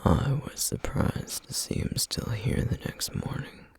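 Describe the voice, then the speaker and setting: A man playing a solemn, weary, duty-bound flame demon in an ASMR roleplay, whispering breathily and haltingly. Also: a little mellow, no echo, clean studio sound, close to an everyday voice. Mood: quiet, hesitant. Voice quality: breathy, husky whisper with gravelly edges. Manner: slow, halting, measured delivery with heavy pauses. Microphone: close microphone, dry sound.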